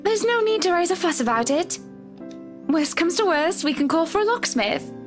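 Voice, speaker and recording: An actress playing a genteel, wistful married woman in a visual novel game, speaking politely and in measured phrases with a creak in her voice. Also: very high voice, sing-song, soft background music. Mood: polite, resigned.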